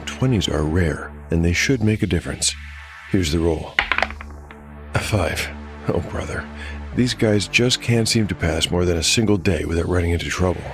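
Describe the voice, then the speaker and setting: A man acting as game master and narrator of a dark fantasy audio drama. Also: deep voice.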